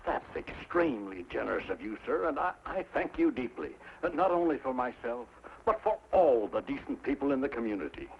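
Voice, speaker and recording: An actor playing a smooth, duplicitous town official who speaks in a formal, measured way in a 1950s TV Western. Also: male voice, mid-range voice, sing-song. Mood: effusive, hesitant. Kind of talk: 1950s television Western acting. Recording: dull, noisy 1950s TV soundtrack.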